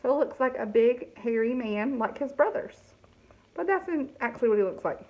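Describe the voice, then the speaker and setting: A woman reading a picture book aloud to children, pausing to explain the story and ask questions. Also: a little high, animated, lo-fi sound. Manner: explanatory, asks listeners questions.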